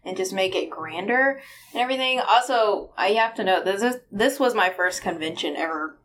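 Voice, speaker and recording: A woman's voice: medium pitch, animated, moderate pace, fairly bright tone, slight background noise. A woman chatting casually as a podcast guest, in an agreeable, giggly way. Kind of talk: casual chat.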